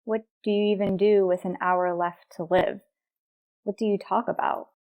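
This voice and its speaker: Woman acting a frightened, halting young stowaway. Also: medium pitch, level tone, narrow, tinny audio, natural voice. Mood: wistful, resigned. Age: young adult. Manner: halting, pleading, emotional. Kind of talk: radio drama acting.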